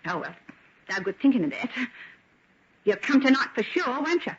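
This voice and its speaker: A brash working-class runaway woman talks high and very animated, full of colloquial exclamations. She is restless and cheeky, a golden age radio character on a dull recording with light noise. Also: normal speed, husky.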